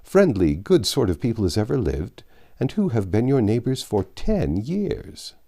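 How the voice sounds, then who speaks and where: A man reading a classic novel aloud, voicing a hearty, persuasive middle-aged gentleman in a brisk, courteous manner. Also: on the low side, wildly swooping pitch, creaky, voice pushed up, full character voice. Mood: persuasive, protesting. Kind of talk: audiobook character voice.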